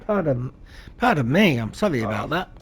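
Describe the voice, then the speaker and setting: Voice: medium pitch, very animated, moderate pace, breathy edge. A gameplay commentator who reads in-game letters aloud in a plain voice, stumbling and correcting now and then, with chatty asides to the viewer.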